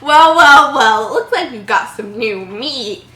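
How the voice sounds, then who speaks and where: A woman's voice: high voice, lively intonation, takes it slowly. A woman voicing a smug twelve-year-old bully boy in a creepypasta reading, theatrical and mockingly menacing. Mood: mocking menace. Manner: theatrical, taunting. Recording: roomy echo, faint background noise.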